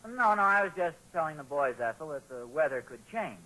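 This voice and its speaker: Homespun radio dad, flustered, hedging, bright forceful voice, lively pitch, 1940s broadcast sound. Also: male voice, brisk, low-quality recording. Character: well-meaning, flustered father of two young boys. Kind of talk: 1940s radio comedy-drama acting.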